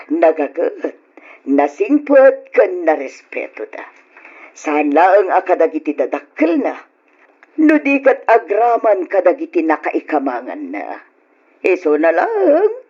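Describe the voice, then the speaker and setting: A woman's voice: lowish voice, darkish tone, breathy edge, heavily acted. A woman playing an amused, approving middle-aged parent in an old Ilocano radio drama, with an Ilocano accent. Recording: dull lo-fi radio recording.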